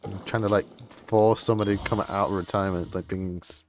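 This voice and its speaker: A man's voice: low voice, fairly even intonation, fast, slight background noise. A man streaming a game and chatting casually, rambling through his opinions in an informal, grumbling way.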